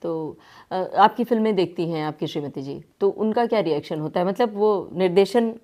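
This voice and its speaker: A woman's voice: lowish voice, normal speed, warm tone. A woman hosting a conversational radio interview, asking questions in a courteous manner. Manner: courteous, frequent listening murmurs.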